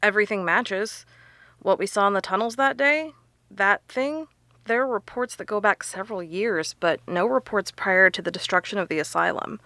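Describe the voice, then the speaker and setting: Analytical investigator, audio drama: earnest, explanatory, mid-pitched, very dry recording. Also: female voice, moderate pace, bright, forceful tone, dry recording. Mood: serious puzzling. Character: serious, analytical woman investigator piecing clues together.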